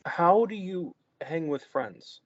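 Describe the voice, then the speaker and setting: A man reading a sheltered, childlike young man at a table read, asking halting, stammering questions. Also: on the high side, lively intonation, highs cut off. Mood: naive.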